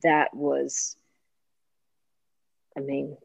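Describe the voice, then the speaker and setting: A woman from England, an actor telling her story as an interview guest in chatty, animated bursts full of fillers and restarts. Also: very deep voice, very slow, creaky, dry recording. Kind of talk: casual interview chat. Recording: dull lo-fi recording.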